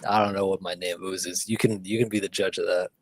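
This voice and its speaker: Low-voiced man, rambling casual chat. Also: flat delivery, brisk, slightly rough, no room sound. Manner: rambling, filler-heavy, drops to near whispers. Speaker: livestream host.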